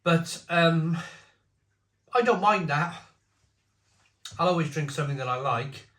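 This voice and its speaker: A man's voice: on the high side, expressive, a little slow, a bit bright. A man in his forties giving a casual, opinionated beer review, talking bluntly in rambling asides. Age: 40s. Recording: roomy echo.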